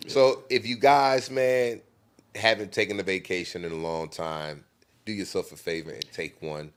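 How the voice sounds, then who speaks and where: A man co-hosting a casual livestream chat, easygoing and quick to chime in with short agreements. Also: deep voice, steady tone, clean tone. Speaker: co-host.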